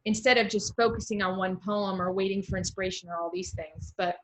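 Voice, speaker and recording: A woman's voice: medium pitch, steady tone, fairly quick, slight background noise, low-quality recording. An American woman hosting a casual literary interview, thinking aloud in an encouraging, conversational way. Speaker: interviewer, host, poet.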